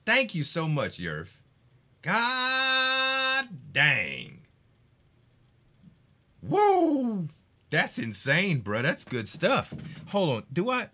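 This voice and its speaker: A man chatting casually on a live game stream, excitable and swearing, hyping games and laughing often. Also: on the high side.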